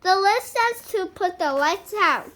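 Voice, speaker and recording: Young girl as literal-minded storybook housemaid, earnest, exclamatory, high and swooping, slow delivery. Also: animated, a bit bright, full character voice. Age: young child. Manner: eager and exclamatory.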